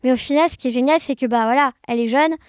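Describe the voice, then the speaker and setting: A woman's voice: high-pitched, rapid-fire, clear, resonant tone, dry recording, studio quality. A woman chatting casually and expressively as an enthusiastic podcast guest. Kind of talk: casual conversation.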